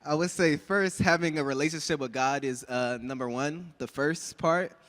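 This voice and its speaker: A man answering panel questions in a measured, thoughtful way, often pausing with "um". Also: on the high side, a bit bright. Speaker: relationship panel guest.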